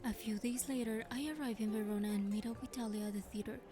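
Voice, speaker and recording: An actress playing a gentle young heroine who narrates her romance game story in a measured way. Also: on the high side, steady tone, music in the background, lightly acted. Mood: warm, thoughtful. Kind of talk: scripted first-person narration.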